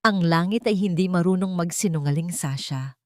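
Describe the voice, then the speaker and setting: A determined woman pilot, as a narrator voices her, speaking with steady authority and a firm, lowish tone. She sounds close to the mic with no room echo. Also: normal speed, close to an everyday voice. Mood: solemn. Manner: authoritative, resolute. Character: determined young woman airline captain.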